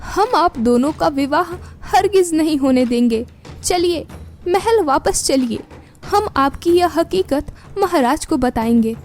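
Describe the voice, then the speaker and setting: A woman's voice: high-pitched, expressive, slow pace, darkish tone, faint music behind. A woman voicing an angry, commanding queen in a cartoon story, imperious and indignant.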